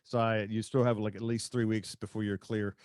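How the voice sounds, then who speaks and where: A man's voice: on the low side, flat delivery, normal speed, a little mellow, dry recording, clean studio sound. An American man, middle-aged, hosting a casual sports podcast chat.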